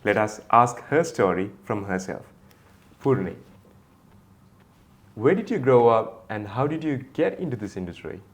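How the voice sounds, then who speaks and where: A man hosting an interview, asking questions in slow, formal phrasing. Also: fairly low pitch, very animated.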